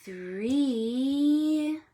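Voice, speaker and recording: A woman hosting storytime for preschoolers in an exaggerated sing-song way, encouraging them and pausing after her questions. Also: sits high, animated, warm tone. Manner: sing-song, exaggerated delivery for small children. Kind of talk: interactive storytime for young children, counting rhymes.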